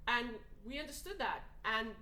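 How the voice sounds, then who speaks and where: A woman's voice: a little high, animated, normal speed, pushed and bright. A woman from Portugal talking earnestly in a casual conversation, building long emphatic arguments. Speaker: podcast host. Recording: echoey room with faint noise.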